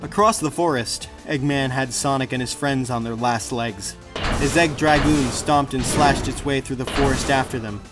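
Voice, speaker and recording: A man narrates an adventure audiobook in a mid-pitched voice. His delivery is scripted and dramatic, with clear music running behind him. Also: moderate pace.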